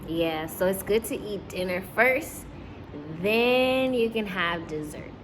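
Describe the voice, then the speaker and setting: A woman with a mid-range voice talks like a preschool teacher at storytime. She is animated and encouraging, addressing children and their caregivers as friends. There is noticeable background noise on the recording. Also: medium pitch, takes it slowly. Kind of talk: read-aloud storytime with asides to young children and caregivers.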